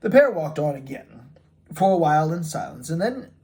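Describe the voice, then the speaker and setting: A man giving a dramatic reading of a classic novel, somewhat halting and stumbling over the text. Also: a little high, very animated, warm tone. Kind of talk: dramatic audiobook-style reading.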